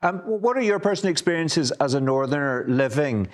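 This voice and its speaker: A man's voice: on the high side, expressive, a little fast. A man presenting a current affairs discussion, introducing guests and putting probing questions, sometimes with small hesitations.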